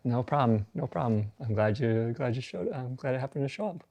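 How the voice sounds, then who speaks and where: A man guiding a meditation during a casual live stream on his phone, speaking in a calm, soothing way. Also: mid-range voice, fairly quick, muffled recording. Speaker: meditation guide. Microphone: phone on a social video live stream.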